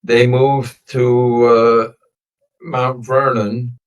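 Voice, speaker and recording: An elderly man in his nineties tells family stories in a reflective, wandering way, pausing often as he goes. Sicilian dialect was his first language. His mid-pitched voice sits well back from the microphone. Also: flat delivery, lo-fi sound. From United States. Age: 90s. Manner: meandering, reflective storytelling with many pauses. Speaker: interview guest. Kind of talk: recounting family history. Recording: voice distant from the mic.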